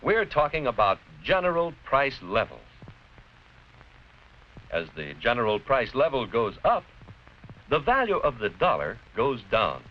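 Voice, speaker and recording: An American man giving scripted educational narration in a deliberate, clearly explanatory, didactic manner. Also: medium pitch, wildly swooping pitch. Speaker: narrator-presenter of an educational film. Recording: dull, noisy vintage educational film soundtrack.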